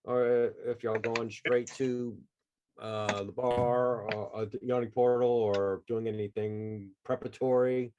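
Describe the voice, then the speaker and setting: A man's voice: mid-range voice, flat delivery, unhurried, muffled recording, background noise. A man running a tabletop game as game master, talking in an easygoing, hesitant, casual way.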